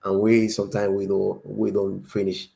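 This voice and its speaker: A man's voice: on the low side, completely flat delivery, normal speed, gravelly, warm tone. A man from Cuba, a native Spanish speaker with a strong Cuban accent, chatting casually as a panel speaker.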